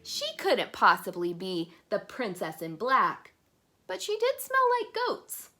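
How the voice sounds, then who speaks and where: A woman reads a children's story aloud in a bright, highly animated voice. She takes her time and speaks expressively, stopping to put questions to her young listeners. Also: takes it slowly. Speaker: children's book reader.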